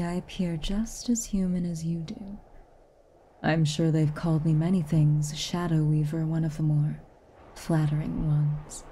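A haughty, reclusive witch, played by a woman in an intimate ASMR roleplay. Her voice is low and very dark, soft enough to slip toward breathiness. She speaks in clipped, commanding phrases, stern on the surface with a hidden kindness. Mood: wry, cool. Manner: aloof, clipped, commanding, occasionally breathy near-whisper. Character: haughty, reclusive tsundere witch, stern but secretly kind.

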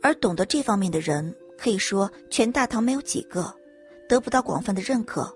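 A woman voicing a calm, serious young noblewoman forensic doctor in an audio drama, measured and formal. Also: mid-range voice, soft background music, close to an everyday voice.

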